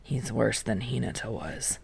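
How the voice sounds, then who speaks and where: A woman voicing a dry, aloof, sardonic teenage boy in an audiobook, with an unbothered deadpan delivery. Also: very deep voice, a little slow, vocal fry, breathy edge, no room sound, pitched below the performer's own voice, exaggerated voice. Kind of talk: audiobook character voice.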